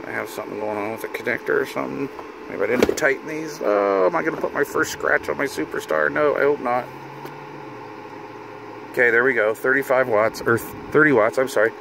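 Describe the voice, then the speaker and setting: A middle-aged man with an average-pitched voice gives an informal hands-on demo, sometimes muttering to himself as he works. He is recorded inside a vehicle, with clear air and car noise behind him. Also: normal speed, pauses often. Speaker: hobbyist video host demonstrating radio gear. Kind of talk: casual hands-on demonstration. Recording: noticeable car and fan noise.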